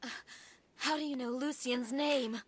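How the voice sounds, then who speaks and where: An actress playing a distraught, defiant wife in a video game, agitated and emotional. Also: female voice, high-pitched, fairly even intonation, measured pace. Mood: shaken, anguished. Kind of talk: video game cutscene acting.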